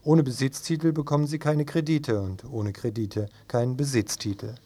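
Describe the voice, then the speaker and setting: A man's voice: medium pitch, fairly even intonation, moderate pace, very noisy. Male radio presenter reading a scripted report. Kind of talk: scripted news narration.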